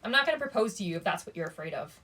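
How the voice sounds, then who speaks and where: A woman's voice: mid-range voice, lively intonation, rapid-fire, pushed and bright. A woman voicing a weary, guarded teenage boy in a storybook, wry and resigned.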